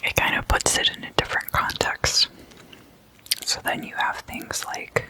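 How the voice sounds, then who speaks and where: A person speaking in a breathy, unvoiced whisper, hushed and conversational, like an ASMR host chatting at a sleepover. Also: low-quality recording. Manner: slow, hushed, casual and conversational. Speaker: host of an ASMR roleplay series.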